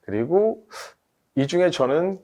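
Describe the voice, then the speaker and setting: A man's voice: on the high side, expressive, slow pace, warm tone. A man, a team leader, explaining things methodically in a webinar discussion, with frequent fillers and restarts. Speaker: team leader, industry expert guest.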